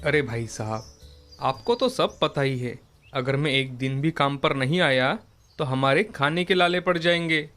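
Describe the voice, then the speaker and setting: A man acts out a poor but proud village father in a cartoon moral tale. He speaks at an ordinary male pitch, with affection and encouragement. Soft music runs behind him. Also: measured pace. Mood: earnest. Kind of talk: Hindi moral cartoon story dialogue.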